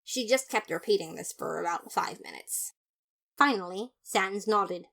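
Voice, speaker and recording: A woman's voice: medium pitch, expressive, a little slow, gravelly, fairly bright tone, full character voice. Woman as a pompous, exasperated detective narrator. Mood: disapproving. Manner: theatrical, haughty, disapproving. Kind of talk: first-person scripted story narration.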